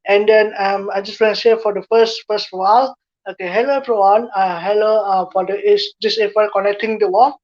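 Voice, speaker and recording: A woman with an Indonesian accent speaks as a guest in an online interview. She stops and restarts often, filling her gaps with "um" and "you know," in a voice of ordinary pitch. The audio is muffled and lo-fi, like a video call. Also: steady tone, brisk, darkish tone. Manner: hesitant, many fillers and restarts.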